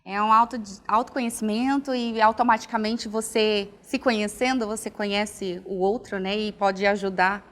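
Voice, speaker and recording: A woman's voice: mid-range voice, fairly even intonation, normal speed, few pauses, a bit bright. A young adult woman from Alagoas, a pedagogue and psychology student, answering interview questions in a reflective, casual way. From Northeast Brazil, Alagoas. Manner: reflective, frequent "né" and restarts. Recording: long hall reverb.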